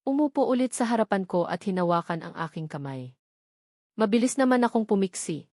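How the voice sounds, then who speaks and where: Casting: female audiobook narrator, medium pitch, dramatic scripted first-person storytelling, dry studio-like sound. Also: expressive, brisk, warm tone, no echo.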